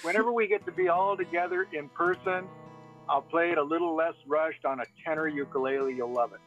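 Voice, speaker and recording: An older man with a slightly high voice talks casually at an online open mic. He sounds like he is on a muffled video call from inside a vehicle. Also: lively intonation, a little fast, faint music behind. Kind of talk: casual chat. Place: inside a parked van. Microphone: video call device.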